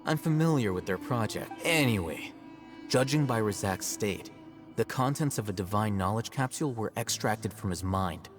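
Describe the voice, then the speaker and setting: A man playing an aloof, self-assured young scholar in a video game, measured, articulate and slightly smug. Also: lowish voice, expressive, in a large hall, over music, natural voice. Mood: explaining.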